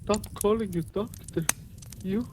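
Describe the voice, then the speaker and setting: An actor playing a distraught, childlike young male killer in a 1940s radio drama, pleading and halting. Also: mid-range voice, a little mellow, close to an everyday voice. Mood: bewildered. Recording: noisy old 1940s radio recording.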